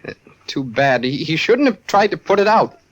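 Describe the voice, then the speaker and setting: An actor playing a nervous, defensive young man in a halting radio suspense style, on a vintage recording with music. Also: a little high, sing-song, low-quality recording. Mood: evasive. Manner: halting, stammering. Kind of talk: radio suspense acting, first-person narration.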